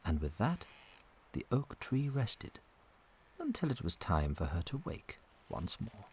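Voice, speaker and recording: A man narrating a scripted children's story as an expressive storyteller. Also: lowish voice, measured pace, noisy background.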